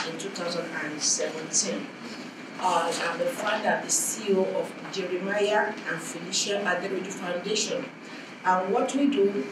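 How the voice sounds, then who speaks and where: A woman who teaches in a public school, introducing herself at a conference with many fillers. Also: fairly low pitch. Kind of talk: spontaneous self-introduction at a conference. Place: conference hall. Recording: echoey hall, distant mic, noisy room.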